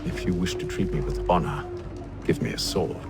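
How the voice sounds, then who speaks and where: An actor playing a cool, shrewd and defiant adventurer travelling by ship in a video game cutscene. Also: male voice, low voice, moderate pace, music in the background, natural voice.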